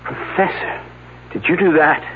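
Flustered, higher-voiced scientist. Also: male voice, a little slow, breathy edge. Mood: flustered, hurt. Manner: stammering, hesitant. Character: earnest, bewildered, nervous male scientist and assistant. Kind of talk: 1970s radio drama acting. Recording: tinny noisy old radio broadcast, hum.

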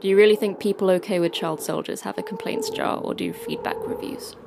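A woman playing a blunt, resigned, world-weary soldier in an audio drama, with a creaky voice. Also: mid-range voice, fairly even intonation, brisk, close to an everyday voice. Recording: clear background noise.

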